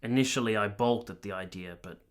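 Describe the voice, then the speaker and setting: A low male voice of an actor as an earnest nineteenth-century soldier in a radio play. He is solemn and measured, with formal, literary phrasing and a troubled conscience. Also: natural voice. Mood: subdued, tender. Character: earnest, tormented male soldier in 1870s Italy, narrating his past. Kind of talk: dramatic radio play narration and dialogue.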